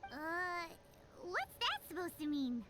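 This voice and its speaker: A chirpy tiny sprite companion. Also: female voice, extremely high pitch, huge pitch swings, slow, loud music behind the voice, exaggerated voice. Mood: eager. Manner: refers to herself in the third person.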